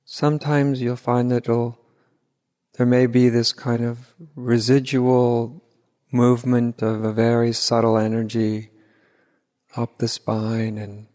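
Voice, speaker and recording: A man leading an unscripted guided meditation as a teacher, gently and unhurriedly, with long pauses. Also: medium pitch, little pitch movement. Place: large reverberant room at a retreat. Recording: hall reverb, live retreat recording.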